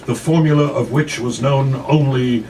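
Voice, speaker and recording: A man reading fiction aloud in a dramatic literary style, with measured, emphatic phrasing and pauses, recorded live in a café. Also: mid-range voice, a little mellow, recorded from a distance, a little hiss or hum, poor audio. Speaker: actor reading a novella. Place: café, live before an audience.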